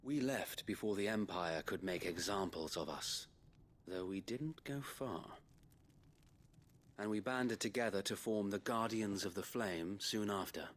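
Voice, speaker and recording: An actor playing an older, loyal knight who leads a resistance band, speaking in a formal, old-fashioned way. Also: male voice, on the low side, unhurried, lightly acted. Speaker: resistance leader. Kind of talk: video game cutscene acting.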